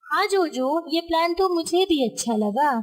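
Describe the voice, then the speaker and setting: Greedy cartoon crow mother, played by a woman, sly, high-pitched. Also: expressive, normal speed. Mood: scheming.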